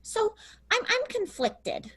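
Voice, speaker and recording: Chatty werewolf woman, high voice, lively swings, candid, conflicted, comic radio play. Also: takes it slowly, heavily acted. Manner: hesitant, conversational, candid. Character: conflicted, chatty young female werewolf fighter, sweetly bloodthirsty.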